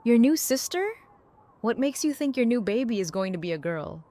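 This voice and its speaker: A woman's voice: a little high, big pitch swings, moderate pace, dark tone. A woman playing a casual, friendly family friend who talks playfully with children in an audio drama. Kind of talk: fan audio drama acting.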